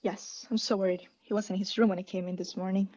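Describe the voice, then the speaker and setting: A young woman playing a guilty, unhinged caretaker in a radio play, breathy and often whispering, with halting, insistent self-justification. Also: mid-range voice, level tone, darkish tone, highs cut off, natural voice. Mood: nervous politeness. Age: young adult. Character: guilty, unhinged caretaker, anxiously feigning calm politeness. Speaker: student actor.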